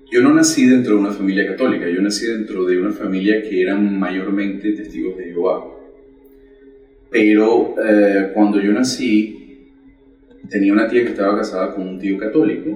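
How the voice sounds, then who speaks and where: A man in his forties gives an earnest, measured account of his faith as a guest. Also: fairly low pitch, a little mellow, roomy sound, distant mic, poor audio. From Venezuela. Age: 40s. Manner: earnest, measured storytelling with pauses. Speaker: catechist guest. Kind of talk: personal faith testimony.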